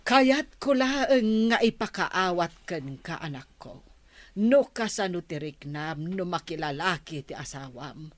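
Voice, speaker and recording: A woman from the Philippines voicing a patient older mother who gives calm moral advice in an audio drama. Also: fairly low pitch, measured pace, no room sound. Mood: steady counsel. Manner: calm, gently preaching.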